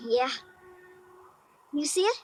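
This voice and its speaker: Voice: high-pitched, very animated, takes it slowly, a little hiss or hum, full character voice. A performer playing a ten-year-old boy in a radio drama, bashful and earnest, with hesitant, ungrammatical street-kid talk. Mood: shy, hesitant. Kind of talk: 1950s radio drama acting.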